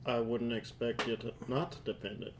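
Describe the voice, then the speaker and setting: A man's voice: mid-range voice, little pitch movement, moderate pace, noisy background, lightly acted. A man playing a stiff, formal vampire negotiator. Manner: stiff, formal, polite speech. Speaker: tabletop player.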